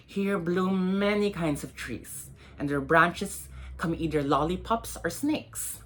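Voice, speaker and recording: Woman, poet at a literary reading: low register, some rasp, measured and expressive delivery. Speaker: poet reading own poems. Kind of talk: dramatic poetry reading.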